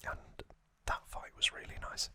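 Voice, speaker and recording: A man hosting ASMR, recapping a story in a breathy, unvoiced whisper with a gentle, halting delivery. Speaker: ASMR host.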